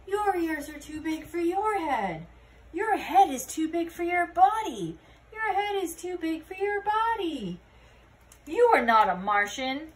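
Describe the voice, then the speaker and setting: Woman as a blunt, high-voiced little Martian. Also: big pitch swings, unhurried, full character voice. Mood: blunt, scornful. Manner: blunt, repetitive, slangy. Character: blunt little one-eyed green Martian creature.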